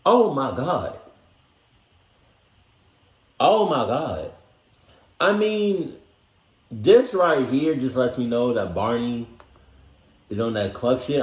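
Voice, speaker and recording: A man's voice: mid-range voice, very animated, slow, long pauses, darkish tone. A man giving casual reaction commentary in slangy, profane, incredulous talk. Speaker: reaction video host. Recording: roomy echo, music behind.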